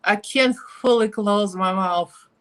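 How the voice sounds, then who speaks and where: A woman's voice: medium pitch, takes it slowly, a bit bright, smooth. A woman with a Russian accent chatting casually and giggling as she does her makeup live for viewers.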